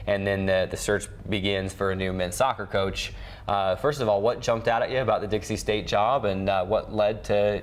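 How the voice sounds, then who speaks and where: A man hosting a sports show, recapping results and interviewing guests in a studio. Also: fairly low pitch. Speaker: sports show host and play-by-play announcer.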